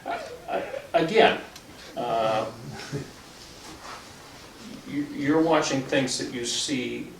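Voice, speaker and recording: Man in an echoey room, far off. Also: mid-range voice. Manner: halting, deliberate, firm. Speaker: town board official. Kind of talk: public meeting discussion. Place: indoor public meeting room. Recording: distant mic, echoey meeting room, clear background noise.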